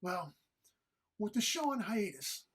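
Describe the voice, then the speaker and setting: Smug gentleman devil, played by a woman: husky, formal, deliberate, archly menacing, with animated pitch. Audio drama. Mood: smug menace. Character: Old Scratch, suave devilish male fiend, smugly menacing. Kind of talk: audio drama script read-through.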